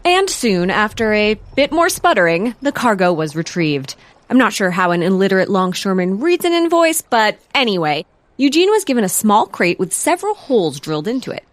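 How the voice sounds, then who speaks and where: A woman's voice: medium pitch, big pitch swings, brisk, natural voice. A woman playing a whimsical, digressive narrator, telling a comic audio drama in a wry, theatrical way. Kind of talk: comic scripted storybook narration.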